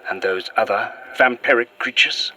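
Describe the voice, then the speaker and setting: Haunted Victorian gentleman, husky, tense bright edge, formal diction, earnest, audio drama. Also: medium pitch, normal speed, low-quality recording. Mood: grim, challenging. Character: courteous, haunted Victorian gentleman, revived in modern times. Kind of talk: found-footage horror audio drama acting.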